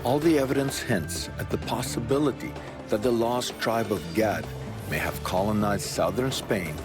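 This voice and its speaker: A man narrating a documentary in a measured, earnest, slightly dramatic scripted delivery. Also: on the low side. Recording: voice-over with music bed.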